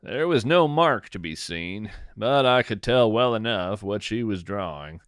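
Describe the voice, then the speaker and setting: A man narrating a scripted, whimsical nature story in a measured, dramatic storytelling manner with deliberate pauses. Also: on the low side, expressive, a bit bright, no room sound, clean studio sound. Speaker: podcast host and narrator.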